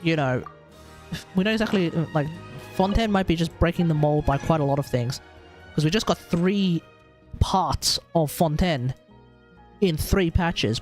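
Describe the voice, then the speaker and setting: An Australian man giving casual, rambling game commentary on a livestream, often talking to himself. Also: a little high.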